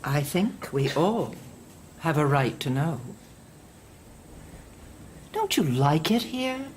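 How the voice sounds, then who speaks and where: An actress playing a stern, sanctimonious older woman indoctrinator in an audio drama, measured and condescending. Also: low-pitched, sing-song, slight background noise, full character voice. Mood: stern, reproachful.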